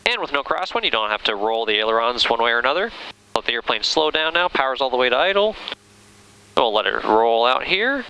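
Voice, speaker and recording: An American man talks quickly over clear engine noise in a small plane cockpit. He is a flight instructor, explaining each procedure step by step as he does it. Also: mid-range voice, rough recording. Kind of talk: instructional cockpit commentary with radio calls. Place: inside a small airplane in flight. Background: steady engine drone.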